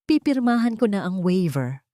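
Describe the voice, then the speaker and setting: A woman's voice: medium pitch, big pitch swings, moderate pace, mellow, dry recording, clean studio sound, natural voice. Woman playing a meek, pleading daughter-in-law. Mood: pleading, submissive. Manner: pleading, subdued. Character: meek, apologetic policewoman daughter-in-law feigning submission.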